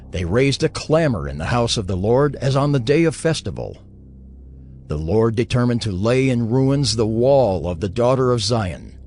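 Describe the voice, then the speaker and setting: A man playing a solemn, mournful narrator of dramatized scripture, letting his phrases fall away gravely. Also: mid-range voice. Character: solemn male narrator lamenting a ruined city.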